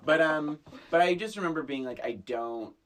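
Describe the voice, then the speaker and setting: A middle-aged American podcast co-host chatting casually about film in an animated, halting, emphatic way, with a husky voice. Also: medium pitch, a little hiss or hum.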